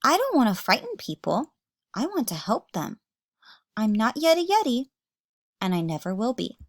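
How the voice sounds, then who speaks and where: A woman voicing a gentle, earnest young yeti boy in a children's storybook. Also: a little high, huge pitch swings, moderate pace, no echo, clean studio sound, voice pushed up, heavily acted.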